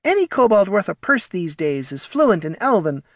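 Small snooty kobold courtier: very high, swooping pitch, airy and condescending audiobook character. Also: male voice, sing-song, moderate pace, dry recording, studio quality. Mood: smug. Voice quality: small, thin voice. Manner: airy, patronizing, affected. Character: small, snobbish, foppish male kobold courtier.

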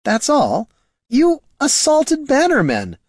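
A man puts on a nervous young male scribe from a storybook. His voice jumps high and loud with flustered, anxious protest. The recording is dry and close. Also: wildly swooping pitch, takes it slowly, no room sound, exaggerated voice.

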